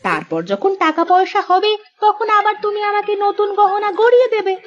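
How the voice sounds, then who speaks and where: A woman acts out a bossy village wife for a cartoon, high in pitch. She is sharp-tongued and practical. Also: sing-song, a little fast, highs cut off. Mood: practical, coaxing. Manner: nagging, scolding. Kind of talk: Bengali cartoon story voice acting.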